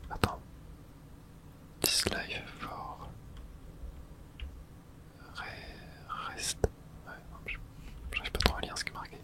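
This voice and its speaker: A hushed, fully whispered voice narrates a video game playthrough in ASMR style. The delivery is casual and unguarded, muttered as if to oneself, with no voiced tone. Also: slow, long pauses, low-quality recording. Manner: whispering throughout; casual swearing, muttering to self.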